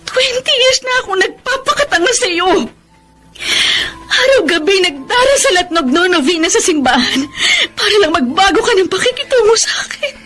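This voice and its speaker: A woman's voice: very high voice, lively intonation, pushed and bright, exaggerated voice. A woman with a Filipino accent playing a weary, long-suffering middle-aged wife in a radio drama, bitter and emotional, drawing out her words. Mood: tearful anguish. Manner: tearful, drawn-out emotional delivery. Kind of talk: Tagalog radio drama acting with English mixed in.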